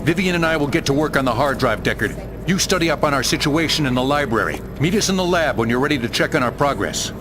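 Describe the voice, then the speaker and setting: A man's voice: fairly high pitch, expressive, fast talker, few pauses, lightly acted. Earnest male resistance scientist played by an actor. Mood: serious, explaining. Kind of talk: video game cutscene acting. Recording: loud music behind. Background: music.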